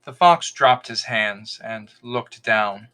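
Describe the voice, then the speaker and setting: A man reading a folktale aloud as an expressive podcast storyteller, in scripted narration. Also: medium pitch, slow, clear, resonant tone.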